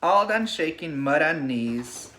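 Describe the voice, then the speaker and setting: A man with a fairly high, bright voice talks to children as their teacher during a picture-book read-aloud. His intonation swings widely in an animated storybook style. It is a home recording with noticeable noise and clicks. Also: slow pace, poor audio.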